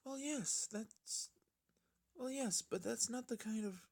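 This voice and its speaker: A gentle young female pony as a male reader puts her on speaks in a raised, soft voice. She is timid and hesitant, a shy storybook character who chooses her words carefully. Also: extremely high pitch, takes it slowly, gravelly, pitched above the performer's own voice, full character voice. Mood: gentle, sweet. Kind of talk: fan fiction character voice.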